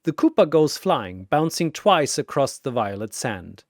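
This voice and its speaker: A man's voice: medium pitch, big pitch swings, measured pace, no room sound, clean studio sound. A man narrating a scripted battle story in a dramatic style.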